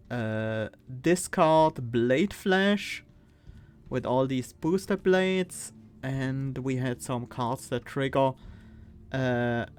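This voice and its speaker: A man with a Swiss accent, streaming a card game and thinking aloud, reading cards and weighing choices with pauses and fillers. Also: medium pitch, smooth. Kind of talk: casual gameplay commentary.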